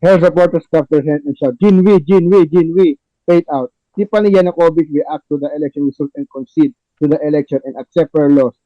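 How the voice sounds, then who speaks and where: A man with halting, repetitive, non-native phrasing, narrating a play reading and chatting with the cast as podcast host. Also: on the high side, no echo, highs cut off.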